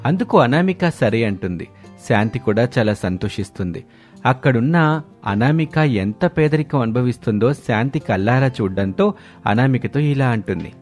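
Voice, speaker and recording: A man's voice: medium pitch, animated, normal speed, a little mellow, faint music behind. A man working as narrator, reading a scripted story in the third person.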